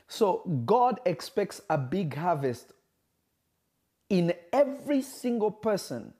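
A man preaching a livestreamed sermon, speaking emphatically, repeating phrases and slowing for stress, and greeting viewers by name. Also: a little high, dark tone. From Kenya.

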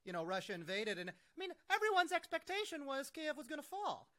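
A man's voice: very high voice, sing-song, brisk, sharp tone. A man from the United States hosting an interview, emphatic and eager, often restarting his sentences. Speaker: interviewer, host. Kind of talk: conversational interview.

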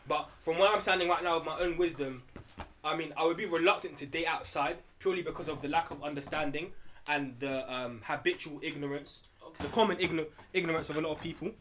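Fast-talking earnest young man. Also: on the high side, fairly bright tone. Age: young adult. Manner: earnest, rambling with repeated words. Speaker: podcast panelist. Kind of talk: casual discussion.

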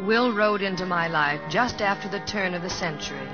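A woman's voice: fairly low pitch, fairly quick, natural voice. An actress playing a poised, helpful young American woman of the old West, in 1950s radio drama style. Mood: reminiscing. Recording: dull vintage radio broadcast, music behind.

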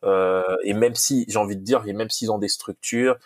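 A man's voice: lowish voice, steady tone, moderate pace, dry recording, clean studio sound. A man from Congo, a football coach and podcast guest, talking earnestly with many restarts and fillers, Lingala his first language. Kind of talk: casual podcast interview.

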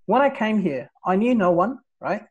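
A man in his thirties, originally from Nepal, talks as a guest on an interview show. His voice sits a little high for a man, and he keeps tossing in "right?" and "like, you know" as he goes. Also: expressive, moderate pace, breathy edge, dull audio. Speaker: podcast guest. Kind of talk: casual interview, telling his life story.

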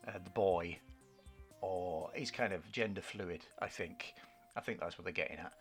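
A man co-hosting a podcast, chatting casually about film trailers in a husky voice, rambling and searching for words. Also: low-pitched, normal speed, soft background music. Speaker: podcast co-host.

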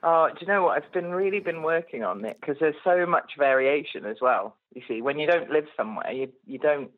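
A woman's voice: deep voice, animated, brisk, phone-quality sound. A woman guest on a casual phone chat, bubbly and playful, often breaking into laughter.

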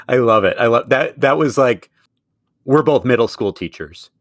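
A man's voice: mid-range voice, expressive, normal speed, dry recording, studio quality. A man who is a middle school teacher, co-hosting a casual sports podcast and laughing often as he talks. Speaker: podcast co-host.

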